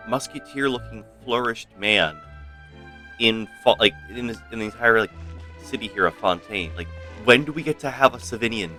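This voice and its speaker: Low, bright-voiced man streaming a game. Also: moderate pace, faint music behind. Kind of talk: casual live gameplay commentary.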